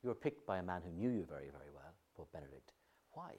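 A man with a very deep, husky voice puts direct questions to his guest. He talks at a fast clip. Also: sing-song, dark tone. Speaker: newspaper interviewer. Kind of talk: interview questions.

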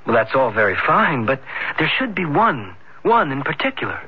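A man's voice: mid-range voice, big pitch swings, moderate pace, slightly rough. An actor playing an earnest, chatty male friend in radio acting. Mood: concerned, prodding. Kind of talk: radio drama and commercial acting. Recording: muffled 1970s radio broadcast.

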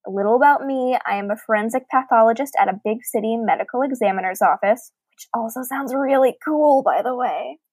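A woman's voice: fairly high pitch, fairly quick, hardly any pauses, a little mellow, no echo, rough recording. A woman co-hosting a podcast, reading aloud and chatting about books in an articulate, conversational way. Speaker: podcast co-host. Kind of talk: reading listener email aloud and casual book discussion.

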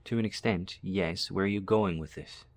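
A man playing a polite, cautious skeptic in a horror audio drama, soft-spoken, courteous and hesitant. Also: low-pitched, moderate pace. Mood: wary.

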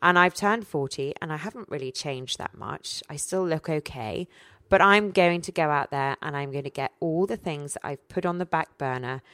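A low-pitched woman in her forties speaks candidly and with energy about her own life. The sound is dry and close, with no room echo. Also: normal speed, a bit bright. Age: 40s. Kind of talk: casual chat.

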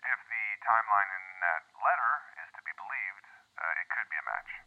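Phone-filtered audio drama cop, male actor: lowish voice, dull band-limited sound, wry, practical, measured deadpan. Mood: matter-of-fact. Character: dry, teasing, practical male police officer named John. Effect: telephone filter. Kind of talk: audio drama acting, naturalistic dialogue.

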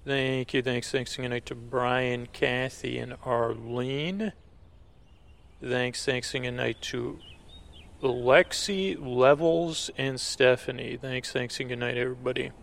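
A man with a creaky voice hosting a podcast, speaking casually in a low-key, halting, meandering way to his listeners. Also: fairly even intonation. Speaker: podcast host. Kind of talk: casual, rambling thanks and sign-off to listeners.

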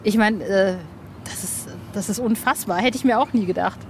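A woman's voice: mid-range voice, normal speed, a little hiss or hum. A woman interviewing in a casual, colloquial way, effusive like a fan and repeating words for emphasis. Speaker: interviewer, radio journalist.

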